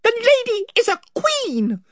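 A man's voice: huge pitch swings, measured pace, dry recording, clean studio sound, heavily acted. A man voicing a proud, valiant little male mouse knight who speaks in a bold, formal manner. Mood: indignant. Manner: bold, formal, high-flown. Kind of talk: audiobook character voice.

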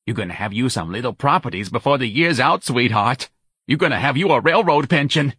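A man voicing a loud, bossy older woman with a New Orleans accent, brash and pushy in an audiobook story. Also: medium pitch, expressive, fairly quick, slightly rough, dry recording, clean studio sound, voice pushed up. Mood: eager, bossy.

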